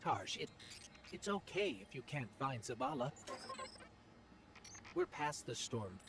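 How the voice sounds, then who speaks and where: An actor playing a supportive, level-headed companion on a game mission, reassuring and earnest. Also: male voice, medium pitch, very animated, takes it slowly, noisy background.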